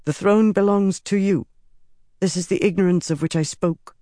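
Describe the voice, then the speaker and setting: A man reading aloud, voicing a cold, commanding young empress who speaks in measured, haughty sentences. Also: high-pitched, steady tone, warm tone, dry recording, studio quality, pitched above the performer's own voice, lightly acted.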